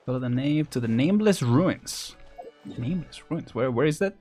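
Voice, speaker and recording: A young man gives casual live gameplay commentary at a medium pitch. He muses aloud in a hesitant, lightly amused way, with faint game music behind him. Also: expressive, normal speed, mellow. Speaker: game streamer.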